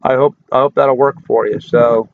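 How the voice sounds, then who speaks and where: An older man hosting a casual live stream, hoarse from hours of talk, folksy and rambling as he answers chat comments. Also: mid-range voice, steady tone, moderate pace, no room sound. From United States. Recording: dry, tinny phone-like audio.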